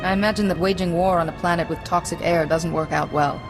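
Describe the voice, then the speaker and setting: An actress playing a calm, polite, composed female warrior-diplomat in a video game. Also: lowish voice, quick pace, music in the background, lightly acted, rough recording.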